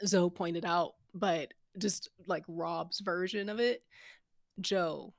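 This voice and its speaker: A woman's voice: fairly low pitch, steady tone, slow pace, dry recording, clean studio sound. A woman talking enthusiastically and emphatically in a casual group chat.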